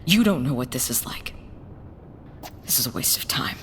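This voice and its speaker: Echoing, low-voiced, blunt smuggler woman voiced by an actress. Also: animated, moderate pace. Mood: bitter, defiant. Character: earnest, defiant working-class woman smuggler on the run. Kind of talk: scripted audio drama acting. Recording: long reverb, faint rumbling background noise.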